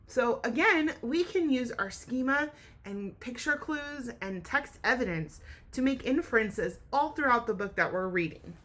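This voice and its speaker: Female teacher for a kids' read-aloud lesson: high pitch, lively swoops, expressive, explains as she goes. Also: measured pace. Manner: expressive, asks listeners questions and explains.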